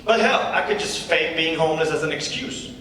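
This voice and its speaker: A man's voice: fairly high pitch, expressive, brisk, pushed and bright. A young man, a student actor in a staged script reading, playing a cocky, flippant stand-up comedian with a casual, conversational delivery. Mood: cocky stand-up patter. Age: young adult. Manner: casual, conversational with rhetorical tag questions. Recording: echoey hall from far off, faint noise.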